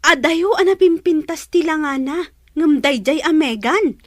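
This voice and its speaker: A woman acts a disapproving younger sister in an audio drama. Her voice is high and recorded very dry. She is chatty, nosy and full of questions. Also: unhurried, no echo. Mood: gossipy. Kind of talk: Ilocano audio drama acting.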